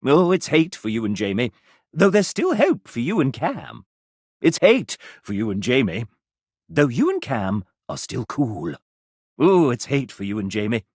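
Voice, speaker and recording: A man voicing a sassy, arch gentleman who narrates a dating video game in a theatrical, mock-genteel and sardonic manner. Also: medium pitch, big pitch swings, unhurried, breathy edge, no room sound, clean studio sound, heavily acted. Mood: mock-regretful, cutting.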